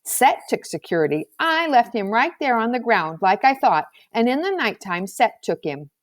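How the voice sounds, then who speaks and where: A woman's voice: a little high, sing-song, moderate pace, dry recording, voice pushed up. Lady doing a tiny boy in a storybook, solemn, simple childlike talk, dry sound. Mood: matter-of-fact. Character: solemn, matter-of-fact very young boy in a storybook.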